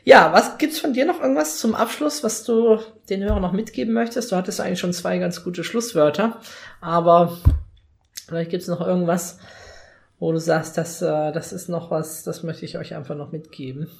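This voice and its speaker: Gravelly-voiced male host in a roomy space; conversational, prompting guest. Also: high-pitched, normal speed. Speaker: podcast host. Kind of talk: conversational podcast interview. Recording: roomy echoey room.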